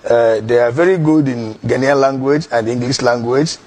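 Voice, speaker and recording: Mid-pitched Nigerian man in interview. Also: moderate pace, a little mellow. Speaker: community leader being interviewed. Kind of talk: unscripted interview answer.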